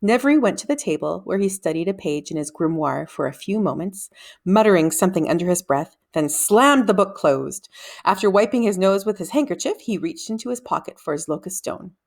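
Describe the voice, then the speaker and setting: Expressive woman reading a children's story. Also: on the low side, fairly quick, gravelly. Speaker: read-aloud reader. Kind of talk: children's book read-aloud narration.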